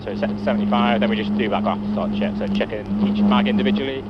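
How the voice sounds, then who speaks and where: Man, lowish pitch, flight instructor, informal explaining, helicopter cockpit, very loud engine noise. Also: steady tone, fast talker, muffled recording.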